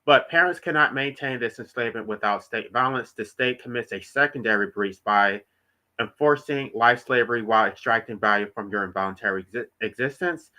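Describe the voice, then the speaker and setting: A man's voice: medium pitch, fairly quick, bright, forceful tone. A congested man with a nasal voice hosts a solo livestream, reading his own writing aloud and then explaining it in plain, emphatic terms. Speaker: author and channel host.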